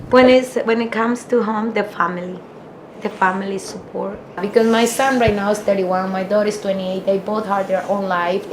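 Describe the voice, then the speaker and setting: A woman's voice: mid-range voice, a little hiss or hum. A woman in her fifties from El Salvador with a Salvadoran accent, Spanish her first language, speaking in a heartfelt, reflective way in a documentary interview, often restarting her phrases. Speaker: barber shop owner.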